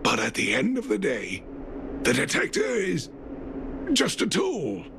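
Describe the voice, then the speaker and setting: Casting: kindly game dad, middle-aged, gravel in the voice, unhurried, patient, tender. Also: male voice, high-pitched, huge pitch swings, background noise, heavily acted. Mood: tender, reassuring. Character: gentle, doting middle-aged miner father. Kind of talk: video game dialogue acting.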